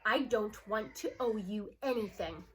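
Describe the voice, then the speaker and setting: A woman's voice: mid-range voice, a little slow, a little hiss or hum, pitched below the performer's own voice, close to an everyday voice. Woman reading as a curt, grumpy older male schoolteacher. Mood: curt, dry. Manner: curt, formal, dry. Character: stubborn, sarcastic older male schoolteacher, formal and gruff.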